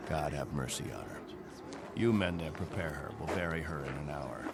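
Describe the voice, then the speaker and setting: An actor playing the stern, grave, commanding captain of a failing space colony in a radio drama. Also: male voice, a bass voice, moderate pace, vocal fry, very noisy. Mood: solemn.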